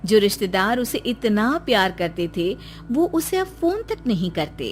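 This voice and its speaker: A woman narrating a cartoon story in an even, storybook tone. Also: mid-range voice, a little slow, faint music behind.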